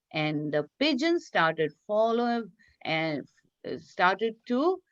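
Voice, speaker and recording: Casting: female children's teacher, fairly high voice, animated read-aloud with questions and repetition, dull online-class sound. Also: dry recording. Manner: animated, explanatory, repeats phrases and questions listeners.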